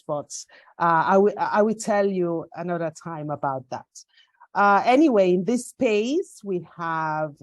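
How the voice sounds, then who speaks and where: A woman's voice: lowish voice, no room sound. A woman hosting an interview, speaking hesitantly with many fillers and self-corrections. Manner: hesitant, many fillers and restarts, warm laughs. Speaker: host.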